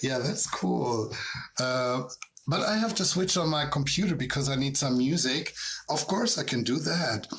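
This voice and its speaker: A man's voice: mid-range voice, animated, measured pace, a bit bright, slight background noise. A middle-aged man with a German accent chats loosely in a casual online Q&A, his voice sitting in an ordinary mid range. He takes his time, drawing out words and circling back with ums and restarts. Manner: chatty, rambling, frequent ums and restarts. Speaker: drag performer and tour guide.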